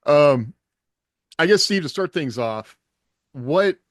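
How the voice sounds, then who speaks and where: A man's voice: on the high side, expressive, takes it slowly, dry recording. Man hosting a podcast, close dry sound. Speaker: podcast host. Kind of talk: casual podcast interview hosting.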